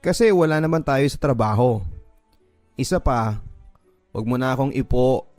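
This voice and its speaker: A man's voice: mid-range voice, expressive, moderate pace, darkish tone, no echo, soft background music, lightly acted. A man playing an easygoing, confident young male boss in a radio drama, casually mixing in English. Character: friendly, confident young male company boss in his early twenties.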